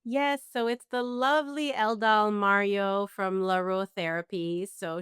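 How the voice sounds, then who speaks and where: Smooth-voiced reflective woman. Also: mid-range voice, no echo, clean studio sound. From Canada. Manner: warm, reflective, many fillers and restarts. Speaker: guest, mental health counselor. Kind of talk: conversational interview.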